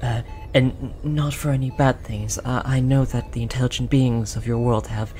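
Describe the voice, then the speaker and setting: A performer voicing a gentle, apologetic alien researcher, speaking softly and hesitantly in an earnest, reassuring roleplay style. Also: medium pitch, steady tone, moderate pace, natural voice. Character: tall, apologetic, gentle alien researcher soothing an abducted captive. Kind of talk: roleplay audio drama, soft comforting delivery. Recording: audible background noise, monitor beeping.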